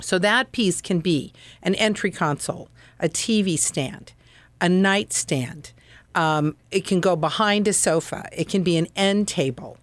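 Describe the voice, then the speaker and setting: Low-voiced woman explaining, dry studio. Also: measured pace, dry recording. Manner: earnest, explanatory, practical advice. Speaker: furniture expert guest. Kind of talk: radio interview.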